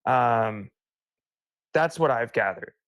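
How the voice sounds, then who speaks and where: Young man, podcast co-host, tipsy, rambling, mid pitch, dry close mic. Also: animated, slow, a bit bright, dry recording. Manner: rambling, laughs often, tipsy. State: tipsy from beer. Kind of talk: casual chat.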